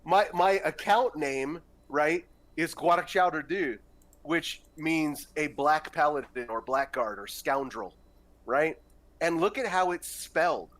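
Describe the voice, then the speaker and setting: A man's voice: a little high, animated, unhurried, a bit bright. A young man joking wryly with friends while playing a game. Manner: wry, joking. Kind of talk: casual gaming chat.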